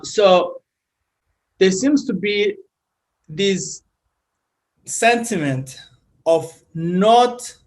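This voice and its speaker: A man from Rwanda who hosts an interview and speaks thoughtfully, often halting and repeating words. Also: high-pitched. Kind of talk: interview conversation.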